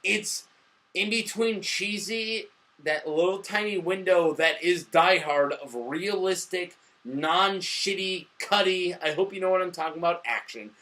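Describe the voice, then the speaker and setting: Drunk guy chatting about movies, bright high voice, sweary and all over the place. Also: a little slow. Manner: excitable, rambling, emphatic, swears freely. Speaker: movie review host. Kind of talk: casual profane movie chat.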